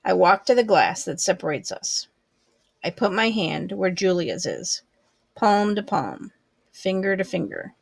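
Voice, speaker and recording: A woman reading a children's book aloud expressively, with deliberate, measured phrasing. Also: on the low side, very animated, vocal fry, breathy edge, dry recording. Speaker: storybook reader.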